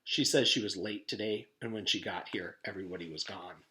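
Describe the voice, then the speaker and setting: A man's voice: lowish voice, a little fast, sharp tone. A man voicing a stern, suspicious mystery man, questioning coldly and formally in a children's storybook. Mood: guarded. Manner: cold, formal, skeptical questioning. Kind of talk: children's storybook read-aloud.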